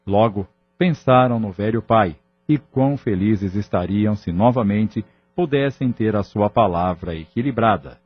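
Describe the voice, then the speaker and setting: Male narrator, Brazilian accent, low breathy voice, dramatic scripted storytelling, slightly muffled. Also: measured pace, hardly any pauses.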